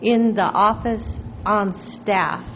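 Slow-speaking woman board member, pointed questions, muffled meeting audio. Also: medium pitch, fairly even intonation, slight background noise. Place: public meeting room.

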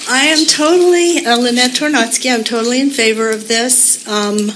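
A woman's voice: on the high side, steady tone, moderate pace, background noise. A woman giving an earnest, rambling public comment at a community meeting, with many fillers.

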